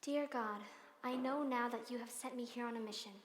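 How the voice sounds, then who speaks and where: A woman's voice: on the high side, steady tone, fairly quick, lightly acted. A woman playing a cheerful devout young governess. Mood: prayerful. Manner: warm and earnest. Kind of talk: 1960s film musical acting. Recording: roomy reverb, faint film score.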